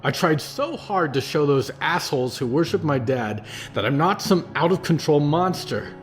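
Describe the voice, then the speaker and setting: A man's voice: lowish voice, lively intonation, measured pace, faint music behind. A man voicing an angry, guilt-torn young man who burns when enraged. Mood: furious. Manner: strained, rising, outbursts.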